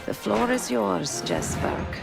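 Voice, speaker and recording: A woman's voice: wildly swooping pitch, slow pace, exaggerated voice. A woman playing a composed, sly undercover operative in a video game, speaking in a cool, confiding, businesslike way. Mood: cool, conspiratorial. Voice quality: low, creaky. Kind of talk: video game cutscene acting. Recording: loud music behind.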